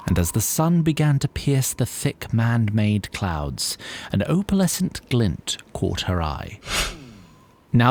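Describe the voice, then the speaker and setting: Wry, very animated fantasy storyteller. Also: male voice, lowish voice, a little hiss or hum. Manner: formal, wry, florid phrasing. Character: wry, theatrical storyteller addressing his listeners. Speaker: narrator. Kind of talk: scripted dramatic fantasy narration.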